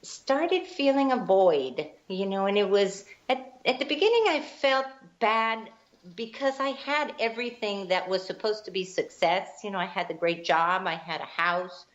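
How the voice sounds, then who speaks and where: A woman's voice: medium pitch, lively intonation, moderate pace. A woman from Guatemala, telling her life story as an interview guest, earnest and reflective. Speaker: guest, spiritual teacher. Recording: dull lo-fi line.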